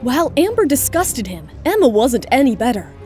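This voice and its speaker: A woman's voice: high-pitched, big pitch swings, normal speed, a little mellow, faint music behind. A woman narrator reading a scripted romantic drama in audiobook style.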